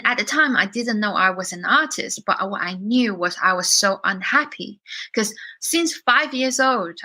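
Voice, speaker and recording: A woman's voice: mid-range voice, pushed and bright. A woman in her thirties from China, speaking with a Chinese accent touched by British English, telling her life story candidly as a podcast guest, with many fillers. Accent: Chinese with some British. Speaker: guest musician. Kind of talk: podcast interview.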